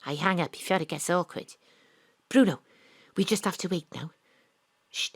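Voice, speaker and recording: A woman narrating and playing a bold private investigator, often hushed and conspiratorial. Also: fairly low pitch, normal speed, slightly rough, dry recording. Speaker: narrator and lead. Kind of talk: first-person drama narration. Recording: dry home studio.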